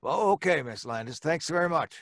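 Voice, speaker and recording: An actor playing a gruff but obliging apartment superintendent, blunt and colloquial, in old-time radio style. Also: male voice, fairly high pitch, expressive, a little fast, no echo, studio quality. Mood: businesslike.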